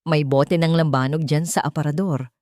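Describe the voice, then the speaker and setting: A woman's voice: sits low, steady tone, normal speed, a little mellow, no room sound, lightly acted. A concerned older mother-in-law with a Filipino accent, played by a woman narrator, talks tentatively and caringly in a story drama. The recording is dry.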